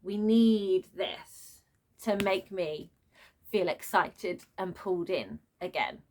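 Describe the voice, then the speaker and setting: A woman giving an unscripted book review as a rant, with an animated, sarcastic way of talking. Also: fairly low pitch, takes it slowly, slight background noise. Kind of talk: unscripted book rant with read-aloud excerpts.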